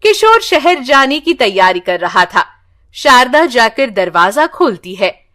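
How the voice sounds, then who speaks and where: A woman reads a moral tale as a storyteller. Her voice is high and swings expressively, and she takes her time, giving the narration a dramatic shape. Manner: slow, expressive, dramatic reading.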